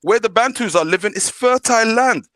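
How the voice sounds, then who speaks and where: A man holds forth on a live panel, his voice pitched a little high. He talks fast and heated in street slang and hammers each point by repeating it. The sound is close and dry. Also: expressive, pushed and bright, no room sound. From northern Somalia. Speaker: podcast host. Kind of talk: casual panel debate.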